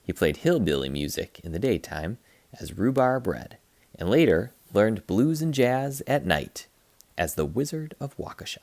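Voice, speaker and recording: A man reading a children's picture book aloud like a teacher, in an expressive, playful way. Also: on the low side, gravelly, no room sound. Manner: expressive, playful, acts out sound effects.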